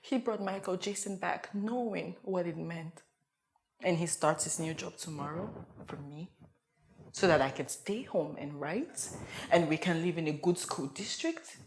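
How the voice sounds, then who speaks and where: A woman's voice: lowish voice, a little slow. A woman performing a dramatic comedic monologue as a frazzled young wife and mother who rambles and interrupts herself in a meltdown. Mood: weary, shaken. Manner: rambling, flustered, self-interrupting. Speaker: actor performing an audition monologue.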